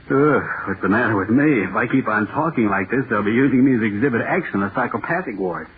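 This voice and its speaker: An actor playing a nervous, overworked male author in 1940s radio drama, halting and muttering to himself. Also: mid-range voice, expressive, lightly acted. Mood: uneasy, musing. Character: jittery, overworked male horror-play author, restless and self-doubting. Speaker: radio playwright dictating a script. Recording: scratchy, noisy, tinny old radio broadcast.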